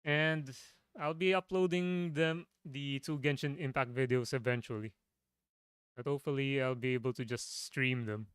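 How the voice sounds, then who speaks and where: A man with a low, smooth, clear voice rambles through a casual chat, stopping and restarting his thoughts. His voice is a little tired, and it is picked up close and dry at a home computer desk. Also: fairly even intonation, moderate pace, fairly bright tone, no echo. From Philippines. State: voice tiring. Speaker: streamer.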